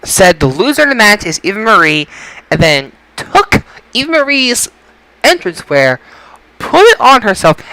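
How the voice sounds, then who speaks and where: A man's voice: high voice, big pitch swings, moderate pace, sharp tone, clean tone, no echo, rough recording. A man hosting a casual podcast about wrestling results, speaking emphatically and often repeating and stumbling over phrases. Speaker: podcast host.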